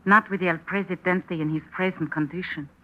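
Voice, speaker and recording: An actress playing a guarded nurse in an old radio drama, speaking in a formal, clipped way. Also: female voice, lowish voice, steady tone, moderate pace, close to an everyday voice. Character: cool guarded female nurse holding a gun. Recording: muffled old radio broadcast with hiss.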